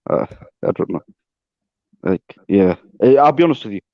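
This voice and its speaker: A man's voice: fairly low pitch, lively intonation, moderate pace, no room sound, lo-fi sound. A man in his late twenties chatting casually, laid-back and joking with lots of filler words. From England. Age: 20s. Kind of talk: casual chat.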